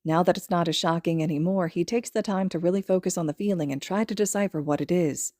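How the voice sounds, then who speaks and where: A woman's voice: lowish voice, fast, a little mellow, dry recording, studio quality. A woman reading a story aloud as scripted audiobook narration. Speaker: podfic narrator.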